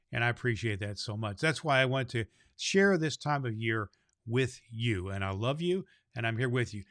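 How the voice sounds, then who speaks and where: A man's voice: mid-range voice, fairly bright tone, no echo, studio quality. A man playing a kindly, jolly old Santa Claus, hosting a children's show in a folksy, effusive way. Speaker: host.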